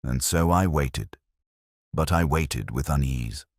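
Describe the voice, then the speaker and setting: A man's voice: a bass voice, slow, no room sound, clean studio sound. A man reading a scripted first-person mystery story in a solemn, literary and dramatic manner. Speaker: audiobook narrator.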